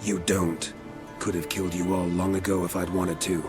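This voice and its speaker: An actor playing a stoic, weathered monster hunter in a game, terse and deadpan. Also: male voice, deep voice, moderate pace, close to an everyday voice. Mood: calm, firm. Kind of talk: video game cutscene acting. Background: loud music.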